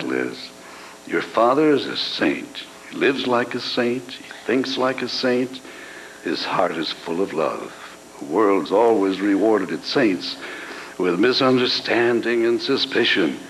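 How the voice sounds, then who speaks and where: An older actor playing a devoted, tender suitor in a live old-time radio drama reading. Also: male voice, low-pitched, expressive, slow, fairly bright tone, exaggerated voice, rough recording. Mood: earnest. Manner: tender, earnest, theatrical. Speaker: actor in a live reader's theatre. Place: hotel ballroom stage. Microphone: far camera. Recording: audience video in a ballroom, hum.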